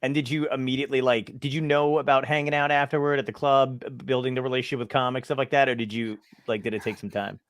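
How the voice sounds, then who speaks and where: A man hosting a podcast in casual conversation, animated and self-deprecating when telling stories. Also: mid-range voice, fast talker, few pauses, no room sound, studio quality. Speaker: podcast host. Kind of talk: casual interview chat.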